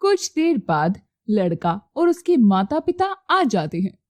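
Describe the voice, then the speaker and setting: A woman narrating a scripted moral story as an expressive storyteller. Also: medium pitch, unhurried, dry recording.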